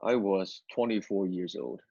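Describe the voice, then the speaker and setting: A man from Hong Kong whose first language is Cantonese, speaking as an interview guest and telling his story in halting sentences with many repeated words and fillers. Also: low voice, creaky, mellow, husky, no echo, lo-fi sound. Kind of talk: testimony interview.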